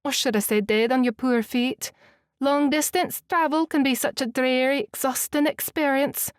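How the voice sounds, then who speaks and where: A woman's voice: high voice, fairly even intonation, no room sound, studio quality, voice pushed up, close to an everyday voice. A woman voicing a kindly, fussing middle-aged housekeeper with a Scottish accent in an audiobook. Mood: doting. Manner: chatty, doting, brisk. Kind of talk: audiobook character voice.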